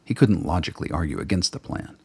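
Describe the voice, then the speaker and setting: A man narrates a radio drama in a measured storytelling manner. His voice is extremely deep, gravelly and husky. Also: sing-song, dark tone.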